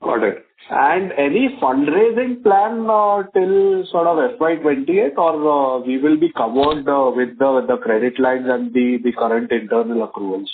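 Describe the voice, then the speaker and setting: A man's voice: medium pitch, normal speed, slightly rough. Polite analyst man on a phone line. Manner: polite, hedging with fillers. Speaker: analyst asking questions. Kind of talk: earnings call questions. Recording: tinny phone line with faint noise.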